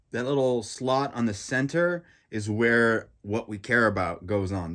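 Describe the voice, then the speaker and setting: A man with a congested, stuffy nose giving a casual, rambling reaction review of a gadget, full of fillers and restarts. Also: lowish voice. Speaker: tech reviewer.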